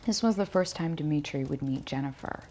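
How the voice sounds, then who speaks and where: An actress playing a guarded adult daughter recounting a family fight as if in a police interview. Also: female voice, low voice, moderate pace, dark tone. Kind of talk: police interview monologue, film acting. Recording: clear background noise with typing.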